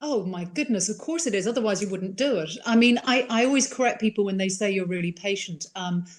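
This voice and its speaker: A woman's voice: mid-range voice, brisk. A middle-aged woman with an Irish accent, chatting enthusiastically as an interview guest, with plenty of fillers. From England. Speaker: wildlife filmmaker guest. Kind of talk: casual interview answers.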